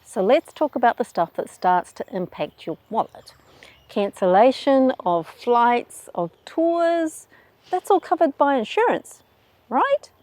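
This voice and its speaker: A woman with a New Zealand accent walks viewers through travel news in a brisk, wry, plainspoken way. Her voice sits on the higher side. The recording is dry and close, with no room sound. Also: animated, a little mellow, no echo. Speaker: travel video host. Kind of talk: scripted explainer.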